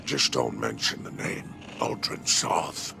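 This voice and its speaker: An actor playing a sly, menacing alien crime baron in a game cutscene, with a gravelly, mocking, theatrical delivery. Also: male voice, very deep voice, huge pitch swings, noisy background, full character voice. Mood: gloating, conspiratorial. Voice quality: gravelly, creaking growl. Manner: drawn-out, sardonic, dropping to breathy asides. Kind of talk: dramatic video game cutscene acting.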